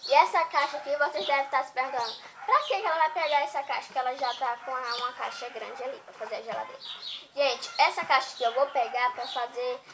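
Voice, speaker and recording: A woman's voice: high voice, fast talker. Chatty young girl making crafts. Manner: chatty, talks to viewers as she cuts and draws. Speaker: crafts vlogger. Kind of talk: casual craft tutorial. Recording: noisy home recording.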